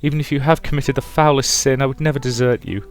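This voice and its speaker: A man's voice: mid-range voice, fairly even intonation, fast talker, pitched above the performer's own voice, natural voice. A man voicing an abusive, volatile mother in a visual novel, with theatrical, emphatic delivery. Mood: tender, controlling. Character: abusive mother, volatile, domineering, cloying when remorseful. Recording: music behind the voice.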